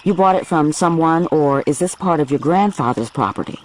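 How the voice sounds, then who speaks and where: A woman's voice: low voice, animated, a little fast, darkish tone. Curious woman asking short questions outdoors. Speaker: visiting author asking questions. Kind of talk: casual interview on a walk. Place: outdoors on rural farmland.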